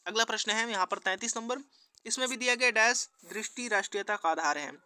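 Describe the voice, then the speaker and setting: Bright-voiced man teaching exam questions. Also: sits high, quick pace, clear, resonant tone, dry recording. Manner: instructional, formulaic question-and-answer delivery. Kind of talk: scripted quiz walkthrough lesson.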